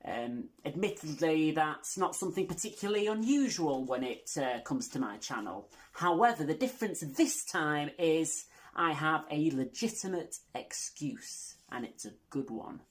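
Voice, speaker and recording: A woman's voice: low voice, lively intonation, moderate pace, few pauses. A woman hosting a video channel, making a casual announcement to viewers with tongue-in-cheek, self-deprecating humour.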